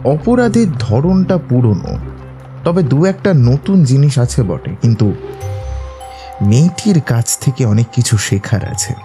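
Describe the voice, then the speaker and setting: An actor playing a confident, analytical detective in an audio drama, speaking in a measured, instructive way. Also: male voice, medium pitch, big pitch swings, mellow, faint music behind. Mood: musing, instructive. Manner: measured, authoritative, didactic.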